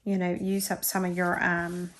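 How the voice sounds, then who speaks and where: A woman's voice: fairly low pitch, completely flat delivery, normal speed, fairly bright tone, a little hiss or hum. A middle-aged woman from Florida with a South Georgia drawl, chatting and rambling at her craft table. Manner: rambling, self-deprecating, talks while crafting. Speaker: crafting channel host. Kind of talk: casual crafting chat.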